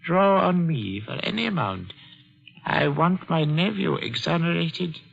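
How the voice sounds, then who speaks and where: A commanding rich uncle in an old radio play, gravelly and husky, with a voice that rises and falls widely. He speaks in measured, formal phrases. The recording is dull and lo-fi. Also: on the high side, animated, a bit bright, exaggerated voice. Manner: measured, formal, precise speech. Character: wealthy older man, formal, commanding uncle. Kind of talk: 1940s radio acting.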